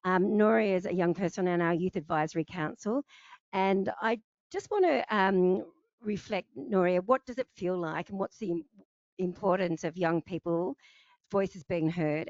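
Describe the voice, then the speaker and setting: A woman's voice: on the low side, moderate pace, dry recording, dull audio. Woman hosting, gracious and hesitant. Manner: warm thanks, many ums. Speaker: host. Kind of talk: hosting a launch event.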